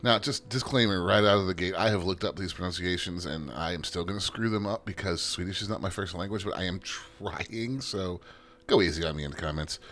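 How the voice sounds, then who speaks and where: A man's voice: low voice, a little fast. A man hosting a flight sim channel, giving easygoing, self-deprecating commentary in a chatty tour-guide way. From United States. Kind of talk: casual gameplay commentary. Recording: steady background hum.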